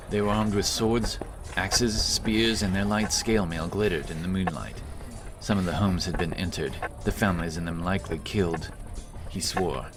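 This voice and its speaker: A man narrating a fantasy battle story in a dramatic, scripted storytelling style. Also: sits low. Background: cinematic action music.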